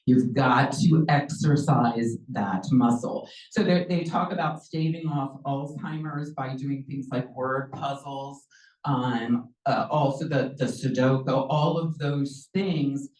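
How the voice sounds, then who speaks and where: A woman's voice: very deep voice, takes it slowly, darkish tone, far from the mic. A middle-aged woman presenting a lecture on learning, chatting along with many ums and acting out little dialogues as a language teacher. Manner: conversational, many ums, acts out quoted dialogue. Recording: echoey room, mic from afar.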